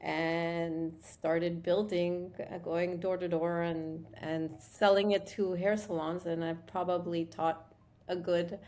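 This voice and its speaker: A woman from Morocco speaks as a guest on an interview show. Her voice sits fairly low. She tells her story in a chatty way and often breaks off to restart a sentence. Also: little pitch movement, slow pace, a little mellow. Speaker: keratin product founder, guest. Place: Montreal.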